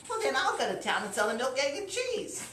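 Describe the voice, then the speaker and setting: Storybook farm woman a narrator acting: high, lilting, chirpy, sensible nag, recorded roomy and distant. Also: sing-song, fairly quick, fairly bright tone. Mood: chirpy, eager. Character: farmer's sensible, chirpy female companion, nagging advice. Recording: roomy, distant mic with faint noise.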